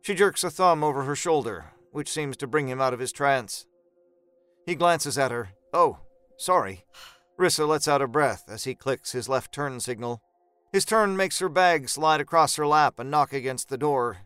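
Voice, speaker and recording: A man's voice: medium pitch, normal speed, a bit bright, smooth, no room sound, soft background music. A man narrating a scripted horror story as part of an audio drama.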